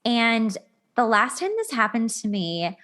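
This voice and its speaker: A woman hosting a casual relationship talk show, speaking in an animated, colloquial way. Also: on the high side, measured pace.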